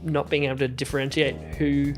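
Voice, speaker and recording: A man talking casually and a little haltingly as a player on a gaming podcast. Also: medium pitch, little pitch movement, soft background music. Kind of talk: casual group banter.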